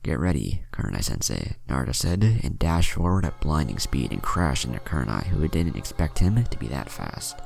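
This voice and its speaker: A man with a deep, gravelly voice reading a scripted story as a narrator, audiobook style. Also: big pitch swings, normal speed, hardly any pauses, darkish tone, faint music behind. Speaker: narrator reading fan fiction.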